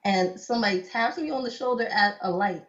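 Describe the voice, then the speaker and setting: A woman's voice: medium pitch, animated, brisk, very noisy. A young woman guest telling stories in a casual interview, laughing often and saying "like" a lot. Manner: chatty storyteller, laughs often, many "like"s. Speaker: cosplayer guest. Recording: dull lo-fi sound.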